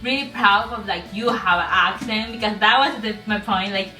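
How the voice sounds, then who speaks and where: A woman's voice: mid-range voice, normal speed, sharp tone. A woman vlogger with a strong non-native English accent, talking casually to camera, rambling with many fillers and restarts and laughing often. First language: not English. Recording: echoey room, voice a bit far from mic, faint music.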